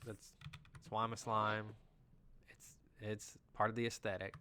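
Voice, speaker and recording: A man with a nasal voice, just getting over an illness, chatting excitably through casual live gameplay commentary as a game streamer. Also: on the low side, measured pace. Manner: chatty and excitable, lots of "like" and "you know". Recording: loud background noise.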